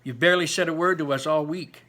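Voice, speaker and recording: A solemn, sly teenage boy, sidekick to a storybook bully, voiced by a man narrator. He is earnest and insistent, with a bright, tense tone. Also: a little high, fairly even intonation, fast, voice pushed up.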